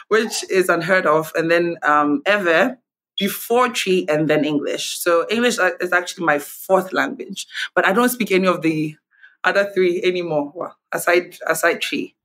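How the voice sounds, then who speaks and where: Bright-voiced Ghanaian woman, lively chat. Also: fairly low pitch. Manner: lively, laughs often, many fillers. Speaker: guest, TV host. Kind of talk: casual interview chat. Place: remote call from home. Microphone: video call.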